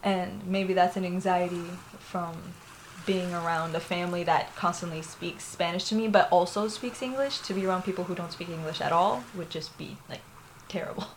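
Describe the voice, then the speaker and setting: A woman's voice: fairly low pitch, moderate pace, a little hiss or hum, low-quality recording. A young woman from Florida with a Puerto Rican family, telling a personal story in a candid, rambling way, full of fillers and laughs. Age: young adult. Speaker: interviewee.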